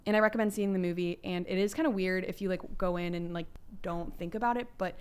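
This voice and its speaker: Young woman podcast host, chatty n emphatic, slangy, clear smooth voice, sitting a bit lower cause getting over flu. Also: fairly even intonation, quick pace, a little mellow. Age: young adult. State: recovering from flu, voice lower than usual. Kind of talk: casual chat podcast.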